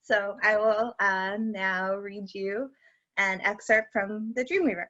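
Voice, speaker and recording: A woman from the United States talks casually about her novel, pausing now and then. Her voice is bright and forward. The video-call audio is a little dull. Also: medium pitch, fairly even intonation. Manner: casual, lightly hesitant. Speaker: author. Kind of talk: book reading and talk.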